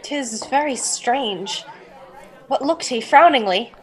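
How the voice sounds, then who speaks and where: A woman's voice: high-pitched, lively intonation, slow, a faint crowd, low-quality recording. A woman playing a grieving, brooding young royal in formal Shakespearean speech for a role-play podcast. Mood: intent. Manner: formal archaic theatrical speech.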